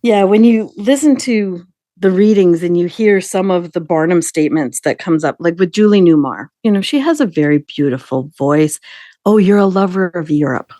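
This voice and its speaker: A woman's voice: fairly low pitch, animated, normal speed, mellow, no room sound, clean studio sound. A woman chatting casually as a podcast guest, with an amused, playful way of talking. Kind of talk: casual chat.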